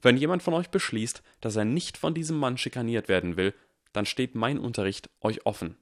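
A man voicing a defiant young schoolboy wizard who speaks with clipped, deadly calm. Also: mid-range voice, brisk, dry recording, studio quality, voice pushed up, close to an everyday voice.

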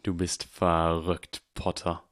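A man voicing a cold, contemptuous male potions teacher who talks slowly and with icy disdain. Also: low-pitched, a little mellow, no room sound, studio quality. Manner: slow, icy and sneering.

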